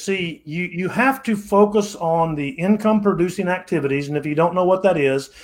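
A man in his sixties, a podcast guest, giving motivational advice in a preachy, repetitive way and often restarting his phrases. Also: high voice. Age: 60s. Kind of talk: motivational interview talk.

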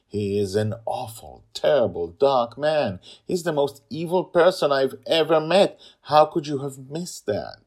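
Storybook part: tense protective brother, husky, a bit raised, read by a man. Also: sing-song, slow, few pauses, a little mellow, heavily acted. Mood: accusing. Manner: low, urgent, insistent.

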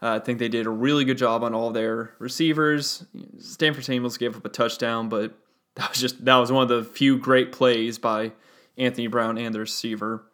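A young man hosting a solo sports podcast, talking through a game casually and analytically with a gravelly voice and frequent fillers. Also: medium pitch, steady tone, moderate pace, fairly bright tone. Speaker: podcast host.

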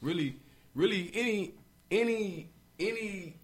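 A man, a guest rapper chatting casually in an interview, energetic and repeating himself, laughing often. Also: measured pace, noisy background. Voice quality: deep voice.

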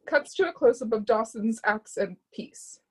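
A woman's voice: on the high side, lively intonation, normal speed, slightly rough. A woman reading stage directions as scripted narration for a readers theatre drama.

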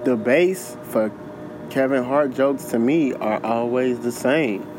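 A man's voice: mid-range voice, takes it slowly, background noise. A man co-hosting a podcast, chatting casually with loose slang and lots of repeating himself. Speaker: podcast co-host. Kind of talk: casual chat.

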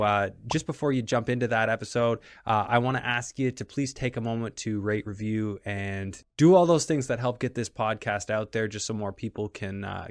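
A man's voice: on the low side, no room sound. A man hosting a podcast in a casual, conversational style. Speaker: podcast host.

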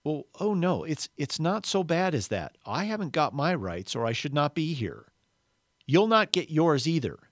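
A man voicing a gentle, forgiven heavenly spirit in a story, calm, reassuring and plainspoken. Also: a little high, moderate pace, no room sound, clean studio sound, pitched above the performer's own voice.

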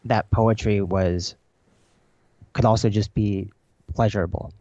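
A man's voice: on the low side, steady tone, measured pace, mellow, dry recording, dull audio. A man whose first language was Chinese, a poet answering interview questions thoughtfully, with many fillers and restarts. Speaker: poet guest.

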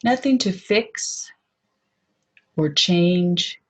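A woman leading a guided meditation in a soft, unhurried, gently inviting way, on a dull lo-fi recording. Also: fairly low pitch, animated.

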